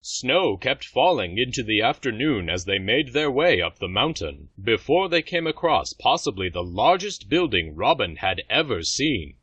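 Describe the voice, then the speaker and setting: A man's voice: mid-range voice, very animated, normal speed, hardly any pauses, fairly bright tone, slightly rough. A man reading scripted fantasy story narration for an audio drama. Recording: slightly dull, music underneath.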